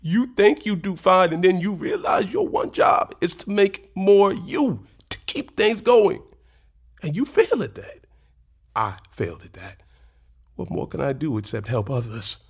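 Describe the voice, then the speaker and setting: An actor playing a despairing, self-blaming older husband with a brooding, preachy manner, in an audio drama. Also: male voice, high voice, big pitch swings, unhurried, slightly rough, exaggerated voice.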